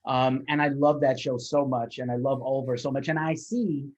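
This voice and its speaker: A man interviews a guest over a somewhat dull, lo-fi call line, his voice a little husky. He rambles eagerly, sounding like a devoted fan. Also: mid-range voice, fairly even intonation, normal speed, a little mellow. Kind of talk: casual interview chat.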